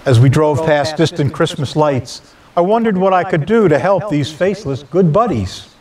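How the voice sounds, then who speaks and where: A man narrates a scripted personal story in the role of storyteller. His voice sits a little higher than most men's. He is recorded slightly away from the microphone. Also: lively intonation, normal speed, recorded from a distance, crowd noise.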